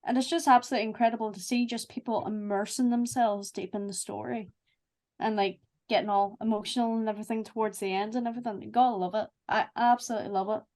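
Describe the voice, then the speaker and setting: A woman's voice: fairly high pitch, steady tone, fast, a little mellow. A woman talking as a podcast guest in a casual group chat, with an effusive, emphatic way of speaking.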